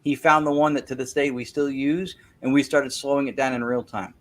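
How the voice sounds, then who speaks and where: A man's voice: medium pitch, steady tone, a little fast. A man as an interview guest, explaining a technical method in detail and repeating words as he thinks. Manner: self-correcting, repeats words while thinking. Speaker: guest, spirit-communication researcher.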